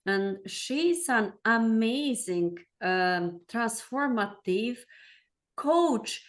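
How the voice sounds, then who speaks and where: A woman hosting a talk show, introducing her guest in a formal, effusive way. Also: mid-range voice. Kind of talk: interview hosting.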